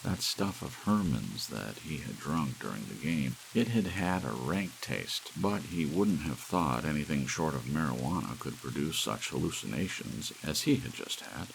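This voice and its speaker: A man reading an audiobook story in a dramatic, hushed storytelling style, as a narrator. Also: a bass voice, moderate pace, vocal fry, hardly any pauses, poor audio. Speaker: audiobook reader. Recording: steady hiss of soft rain. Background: soft rain.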